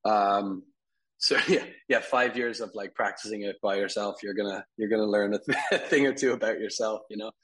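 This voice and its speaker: A man's voice: on the low side, a little fast. Easygoing man chatting, Irish-born. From Ireland. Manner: relaxed, rambling, many fillers and soft laughs. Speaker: guest, furniture company CEO. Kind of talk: casual interview conversation.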